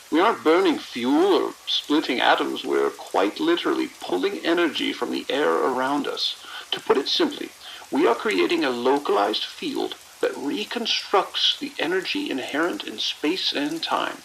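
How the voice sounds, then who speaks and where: A proud, lofty male scientist, played by an actor, with a heavily creaky, higher-pitched voice. He lectures grandly and condescendingly in audio drama style over faint hiss. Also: animated, normal speed, a bit bright, exaggerated voice, rough recording. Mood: grandiose. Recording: hiss and rain-like noise.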